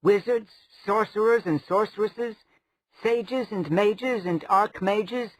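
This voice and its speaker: A man voicing a sharp-tongued, scornful adventuring woman with a dark, wry manner. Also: sits high, takes it slowly, no echo, pitched above the performer's own voice, rough recording.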